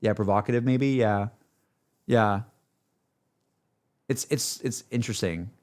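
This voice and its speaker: A man's voice: fairly low pitch, steady tone, unhurried, darkish tone. A man giving a casual, rambling livestream reaction, peppering his talk with filler words like a laid-back chat among friends. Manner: rambling, heavy use of "like" and "dude". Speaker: streamer reacting to game music.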